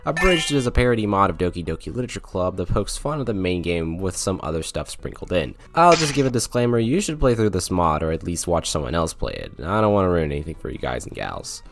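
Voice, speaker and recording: A man hosts a scripted game review in a slightly low voice. His intonation moves up and down a lot, giving the narration an animated shape. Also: quick pace, vocal fry, few pauses, soft background music. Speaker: video game reviewer and channel host.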